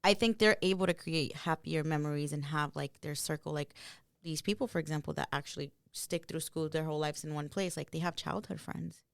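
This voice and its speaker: Brazil-born woman, real low gravelly voice, says like a lot. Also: brisk, no room sound. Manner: emphatic, filler-heavy. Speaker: podcast co-host. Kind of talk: casual chat.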